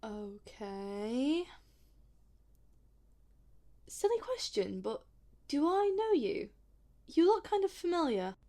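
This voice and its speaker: A woman's voice: sits high, big pitch swings, slow pace, long pauses, dark tone, full character voice. A woman acting a polite, hesitant and sceptical visitor in a naturalistic audio drama, rambling a little awkwardly. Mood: hesitant, chatty.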